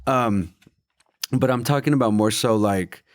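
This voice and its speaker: A man's voice: mid-range voice, a little slow, no echo, studio quality. A man from Mexico co-hosting a podcast, telling personal stories in casual chat with a hesitant, filler-heavy delivery. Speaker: podcast co-host.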